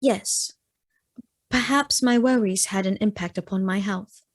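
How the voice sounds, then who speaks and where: A woman plays a young gentlewoman in a radio drama, melancholy and guarded. She speaks in measured, formal phrases with a medium pitch. The sound is very dry and intimate. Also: dry recording, close to an everyday voice. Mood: subdued, reflective. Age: young adult. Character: reserved, melancholy young gentlewoman recalling the past. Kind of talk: radio play acting.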